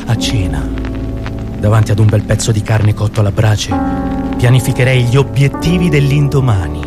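A man's voice: on the low side, lively intonation, normal speed. A man performing a scripted, dramatic literary monologue for radio. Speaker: radio show author and performer. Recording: music bed behind the voice. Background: music.

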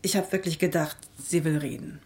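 A woman's voice: low-pitched, steady tone, brisk, darkish tone, lightly acted. A woman playing a defensive, bitter, wounded suspect under questioning in a naturalistic radio drama. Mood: hurt, bitter.

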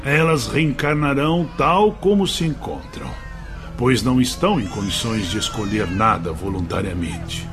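Male actor as grave spirit mentor, medium pitch, didactic, authoritative, radio drama, strong background music. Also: animated, moderate pace, lightly acted. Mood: grave, instructive.

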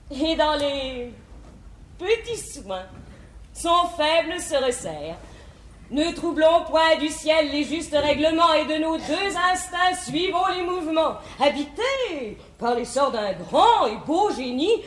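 An actress playing a sensible, modest young sister with sweet irony, in poised, measured classical stage verse. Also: female voice, high voice. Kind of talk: 1950s French classical stage comedy acting. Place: large theatre stage. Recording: echoey theatre stage recording.

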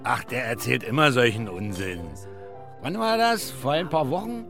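An actor playing a gruff, dismissive male tavern keeper in a radio drama, casual and scoffing. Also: medium pitch, big pitch swings, normal speed, over music. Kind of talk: German radio drama acting.

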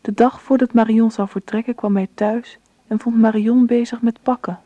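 A woman playing a grown daughter who narrates her past in a measured storytelling way, in a radio drama with dulled sound. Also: fairly high pitch, steady tone, warm tone, no echo, lightly acted. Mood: calm, reflective.

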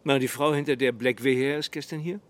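An actor playing a middle-aged, world-weary small-town sheriff in a radio drama, laconic and measured. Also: male voice, medium pitch, fairly even intonation, natural voice. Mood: wry, weary. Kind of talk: naturalistic radio drama acting.

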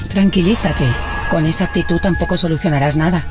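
A man's voice: fairly high pitch, fairly even intonation, fairly quick, close to an everyday voice. A man playing a scheming, menacing octopus-alien villain in a radio drama, with theatrical, mocking delivery. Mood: coaxing. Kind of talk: serial radio drama acting. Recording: loud music under the voice.

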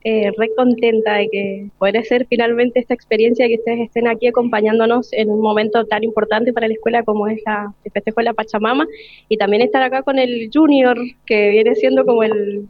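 A woman's voice: fairly high pitch, flat delivery, fairly quick, no echo. A woman with an Argentine accent chatting casually on live radio. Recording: dull, dry radio sound.

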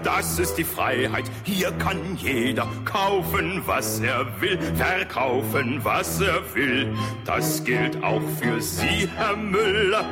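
A man's voice: on the high side, animated, slow, over loud music. A man performing a satirical political song, half singing and half speaking with a sardonic, smug manner. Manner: sardonic, addressing the listener as a smug capitalist. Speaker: satirical singer-songwriter. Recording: recorded song with prominent musical accompaniment. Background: musical instrument accompaniment.

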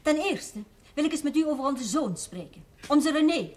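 High-voiced, domineering village wife. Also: female voice, lively intonation, normal speed, darkish tone. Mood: stern, lecturing. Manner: stern, haughty, lecturing. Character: domineering, indignant small-town schoolmaster's wife and mother. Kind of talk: television drama acting.

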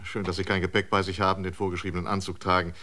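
A man's voice: sits low, flat delivery, racing, pushed and bright. A man playing an officious, prying airfield manager in a science fiction radio drama, clipped, tacking on little questioning interjections. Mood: officious, probing.

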